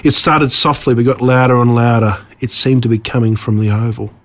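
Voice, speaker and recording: A man with an Australian accent reading his own story aloud as a scripted narration, measured and dramatic. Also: medium pitch, fairly even intonation.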